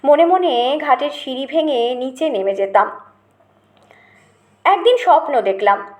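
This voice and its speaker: A woman reading a literary memoir as an audiobook narrator, in an expressive storytelling manner. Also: high voice. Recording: roomy, echoing voice recording.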